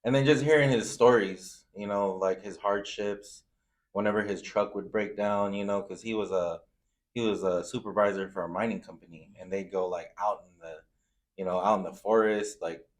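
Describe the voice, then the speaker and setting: A man's voice: on the low side, fairly even intonation, normal speed. A man from Zambia in a casual interview, telling personal anecdotes in an informal, slangy way. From Zambia, moved to the US. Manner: informal, slangy, frequent "you know". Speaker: food truck owner, interview guest.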